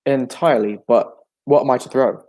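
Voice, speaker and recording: An actor playing a detective's loyal, polite gentleman companion, mildly questioning, in an old-style radio drama. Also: medium pitch, moderate pace, natural voice. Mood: curious. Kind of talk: 1940s radio drama acting.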